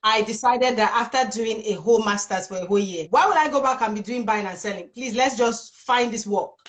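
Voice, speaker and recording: A woman in her thirties from Nigeria, speaking with a Nigerian accent. She is an HR business partner chatting casually in a live interview over a phone video call, with a big, energetic, expressive voice. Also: fairly high pitch, fairly quick.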